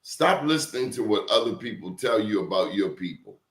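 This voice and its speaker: A man's voice: lowish voice, lively intonation, fairly quick, echoey room. A man hosting an interview show, telling stories in an emphatic, preachy way. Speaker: show host. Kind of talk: casual interview talk.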